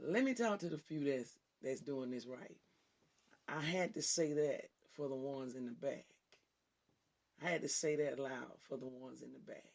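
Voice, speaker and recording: Raspy, fervent woman preacher. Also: moderate pace, darkish tone. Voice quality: raspy, deep-sounding voice often taken for a man's on the phone. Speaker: minister and show host. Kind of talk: impassioned preaching on a talk show.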